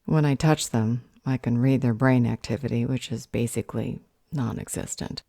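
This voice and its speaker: A woman playing a serious, analytical female magic user in an audio roleplay, with a measured, clinical delivery. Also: extremely low pitch, steady tone, vocal fry, mellow, no echo, studio quality, full character voice. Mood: clinical, grave.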